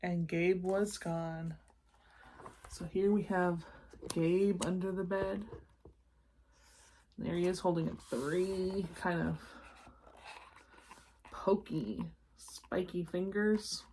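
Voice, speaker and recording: Slow-paced woman reading a storybook. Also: on the low side, slight background noise. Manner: animated, explanatory, checking in with listeners. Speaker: read-aloud storyteller for children. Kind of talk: expressive storybook narration with asides to young listeners.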